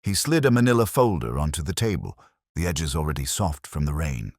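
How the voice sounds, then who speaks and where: A middle-aged man narrating a first-person detective story in a brooding, measured, literary way. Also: extremely low pitch, big pitch swings, no room sound, clean studio sound.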